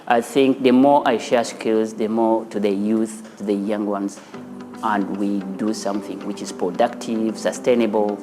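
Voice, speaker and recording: A man, a studio founder and teacher, talking in an earnest, unhurried way with small restarts in a documentary interview. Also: on the low side, fairly even intonation. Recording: interview over loud background music.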